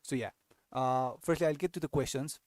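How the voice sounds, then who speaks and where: A man's voice: medium pitch, brisk, a little mellow, no room sound. Hesitant young man from Nepal. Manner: hesitant, many restarts and tag questions. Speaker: fantasy football channel host. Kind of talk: casual live-stream Q&A.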